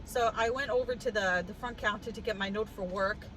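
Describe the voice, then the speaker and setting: A woman with a cough telling a story in a casual vlog, animated and wry, laughing as she goes, sitting in a car. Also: medium pitch, fairly quick, slight background noise. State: congested with a cough. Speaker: vlogger. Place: in a car with the air conditioning on.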